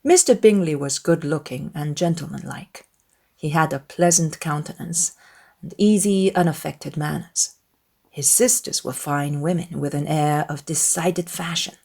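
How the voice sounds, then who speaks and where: A woman narrates a classic novel as a dramatized audiobook. Her voice sits a little low, and she tells the story expressively, in measured phrases. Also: creaky, a little mellow.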